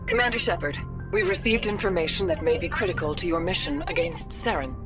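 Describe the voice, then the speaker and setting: Woman voicing a low, stately alien councillor. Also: expressive, fast, over music, lightly acted. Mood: composed. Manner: formal, diplomatic. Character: composed, dignified female alien councillor. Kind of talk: video game voice acting.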